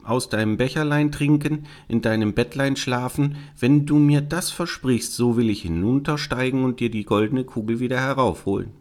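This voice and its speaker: Man voicing an insistent high-pitched storybook frog. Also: moderate pace, hardly any pauses, darkish tone, close to an everyday voice. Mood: bargaining. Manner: insistent, bargaining, emphatic delivery. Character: small pleading, insistent male frog from a fairy tale. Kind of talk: fairy-tale storybook character reading.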